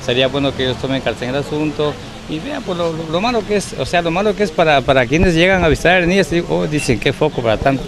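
An indignant man in his fifties speaks into a reporter's handheld mic at an outdoor market. His voice sits a bit high for a man, and a busy crowd chatters behind him the whole time. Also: lively intonation, fast talker. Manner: indignant, repeats himself. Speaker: local business owner. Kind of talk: street interview.

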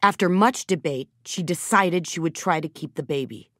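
A middle-aged woman narrating a children's storybook in a gentle, measured way, softening her voice at tender moments. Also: on the low side, dry recording, clean studio sound. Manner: gentle, measured storytelling with soft dips in volume. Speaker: audiobook narrator. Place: recording studio. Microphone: close studio microphone. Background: background music.

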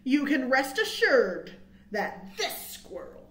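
A middle-aged woman reading a picture book aloud to young children with expressive, dramatic emphasis and chatty asides, as a storytime host. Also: sits high, slow. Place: small indoor room. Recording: roomy, echoing indoor sound.

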